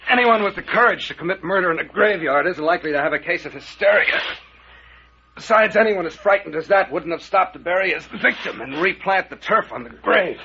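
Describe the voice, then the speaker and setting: An actor playing a tough, unflappable private investigator captain in a brisk, wry, hard-boiled old-time radio mystery style. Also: male voice, sits high, expressive, pushed and bright, slight background noise, heavily acted. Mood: reasoning. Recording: muffled, husky old radio recording.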